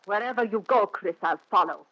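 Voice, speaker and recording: A woman's voice: medium pitch, fairly quick, no room sound, close to an everyday voice. A woman playing a jealous, possessive, menacing wife in an intense, theatrical 1940s radio thriller style. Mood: furious, possessive. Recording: dull vintage 1940s radio recording.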